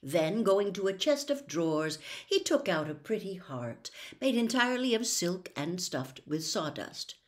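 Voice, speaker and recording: An older woman reading a classic novel aloud at home, expressive and animated. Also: lowish voice, unhurried, hardly any pauses. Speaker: storyteller. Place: home room with bookshelves. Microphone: webcam close up.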